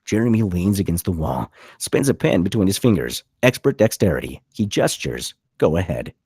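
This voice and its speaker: A man narrates a script in a low, rough-edged voice. His reading is dramatic and animated, moving through stage directions in a dry, close recording. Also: normal speed, dry recording.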